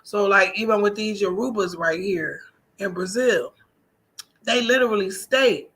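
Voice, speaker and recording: A woman's voice: mid-range voice, unhurried, a bit bright. An American woman talking casually in a personal vlog monologue, emphatic, colloquial and conversational. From United States. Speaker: vlogger.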